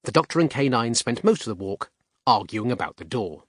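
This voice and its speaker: Lively, wry male audiobook narrator. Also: mid-range voice, a little fast, slight background noise. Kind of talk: scripted narration.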